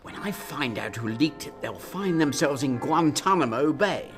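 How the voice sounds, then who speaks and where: A woman playing an exasperated, sharp-tongued Prime Minister, scolding and sarcastic, in a radio drama. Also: low-pitched, animated, moderate pace, music in the background.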